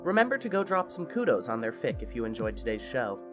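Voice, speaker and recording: An expressive narrator reads scripted fiction for a podcast in a measured storytelling manner. Faint music plays behind the voice. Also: mid-range voice.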